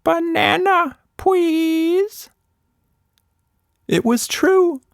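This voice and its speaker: A man's voice: very high-pitched, drawn-out words, gravelly, dry recording, clean studio sound, voice pushed up, heavily acted. A man voicing an outspoken but polite baby girl in a storybook, using simple baby talk.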